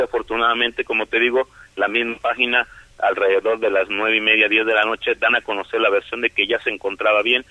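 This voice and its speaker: A man phoning in a detailed news report, steady with small fillers. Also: mid-range voice, no echo. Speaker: field reporter. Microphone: telephone. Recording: tinny dry phone line.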